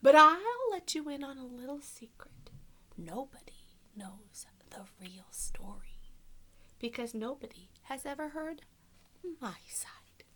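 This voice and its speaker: A woman voicing an aggrieved male storybook wolf pleading his innocence, with exaggerated, drawn-out, emphatic delivery. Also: sits high, bright, forceful tone, slight background noise, heavily acted. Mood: confiding, indignant. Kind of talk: dramatic children's storybook read-aloud.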